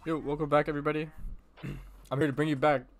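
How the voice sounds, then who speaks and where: A man's voice: fairly high pitch, little pitch movement, fast talker, faint music behind. A man with a sick, strained voice casually commentating a livestreamed game match in an informal, rambling, sweary way. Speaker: esports commentator, livestream host.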